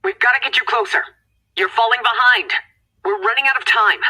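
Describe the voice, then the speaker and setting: A woman's voice: a little high, fairly quick, sharp tone, slightly rough, heavily acted. A woman playing an urgent, commanding scientist in a video game, giving terse, tense mission directions.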